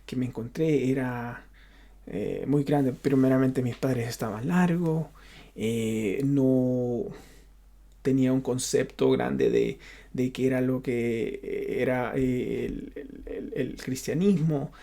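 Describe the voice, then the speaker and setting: Gravelly, halting Honduran man. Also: medium pitch, warm tone. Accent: Honduran Spanish. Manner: halting, searching for words, repeating himself. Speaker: pastor. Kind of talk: personal testimony, unscripted talk to viewers.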